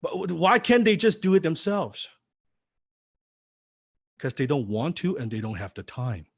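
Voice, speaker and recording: A man's voice: a little high, sing-song, a little slow, no room sound. Punchy male seminar speaker, dry sound. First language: not English. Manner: punchy, with rhetorical questions to the audience. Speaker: seminar speaker teaching copywriting. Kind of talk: live seminar teaching. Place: seminar room before a live audience.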